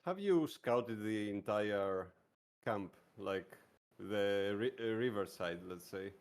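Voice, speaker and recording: A man's voice: on the low side, slow. A man chatting casually as a player in a tabletop roleplaying game.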